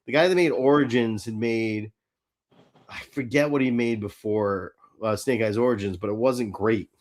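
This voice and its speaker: A man co-hosting a podcast in casual fan chat, halting and interrupting himself, with some mild swearing. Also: fairly low pitch. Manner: halting, self-interrupting, mildly profane. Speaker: podcast co-host.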